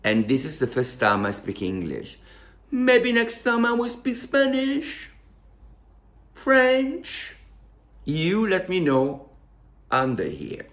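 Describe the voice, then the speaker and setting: Slow-talking Italian-accented man. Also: high-pitched, huge pitch swings, fairly bright tone. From Italy. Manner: emotional, repeats words, halting English with grammar slips. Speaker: vlogger. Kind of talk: casual storytelling to camera.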